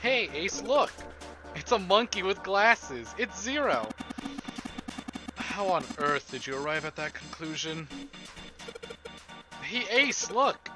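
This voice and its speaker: A man's voice: high voice, big pitch swings, slow, long pauses. A male streamer playing a story game live, casually muttering through puzzle steps and cracking jokes. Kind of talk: live game playthrough, reading and commenting. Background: game music.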